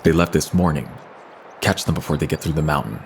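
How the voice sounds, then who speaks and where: An actor playing a cold, smug villain who commands henchmen in a measured, threatening way. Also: male voice, a bass voice, gravelly, background noise. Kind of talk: audio drama acting.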